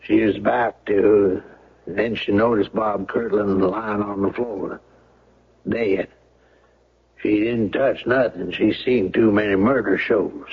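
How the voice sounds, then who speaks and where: An actor playing a plain-spoken, courteous small-town sheriff in a radio drama, with a husky voice and folksy, deliberate talk. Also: male voice, fairly low pitch, steady tone, full character voice. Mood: matter-of-fact. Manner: folksy grammar, deliberate, deferential with "ma'am". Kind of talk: 1970s radio drama acting. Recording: muffled old radio broadcast recording.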